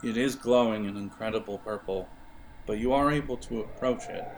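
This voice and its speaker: A man running an improvised tabletop role-playing game, narrating in a halting, conversational way. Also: on the low side, a little hiss or hum, rough recording. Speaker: game master. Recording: music behind the voice. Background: music.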